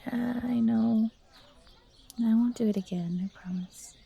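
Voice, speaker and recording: A woman voicing a young fox girl, timid but eager and grateful, soft and breathy, in a scripted audio roleplay. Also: on the high side, steady tone, measured pace, dull audio, full character voice. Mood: sheepish, weary.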